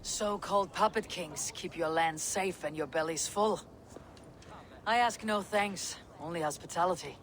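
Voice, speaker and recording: An actress playing a steady, proud female Viking chieftain in a video game, measured, wry and courteous. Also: fairly low pitch, close to an everyday voice. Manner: measured, wry, self-assured. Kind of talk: video game cutscene acting.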